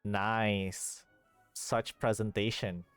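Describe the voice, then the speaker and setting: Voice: low voice, level tone, drawn-out words, fairly bright tone, smooth, dry recording, faint music behind. A young adult streamer giving casual, joking and reactive commentary over a video game, recorded on a close mic. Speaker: live streamer.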